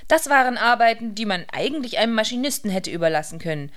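A woman's voice: on the high side, expressive, quick pace, fairly bright tone. A woman narrating a literary radio play from a script.

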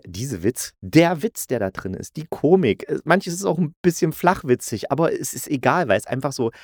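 Male podcast critic, middle-aged, mid-pitched, colloquial and keen, recorded dry. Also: darkish tone, no room sound. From East Germany. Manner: colloquial, enthusiastic. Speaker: audio drama critic, podcast host. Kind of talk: casual spoken review.

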